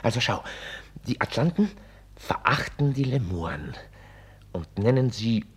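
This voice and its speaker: A man acting in a radio drama as an excitable author spinning a grand catastrophic story, musing and declaiming by turns, with a husky voice. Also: medium pitch, measured pace. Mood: wry, narrating. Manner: theatrical, musing, swinging from hushed to declaiming. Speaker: radio play actor.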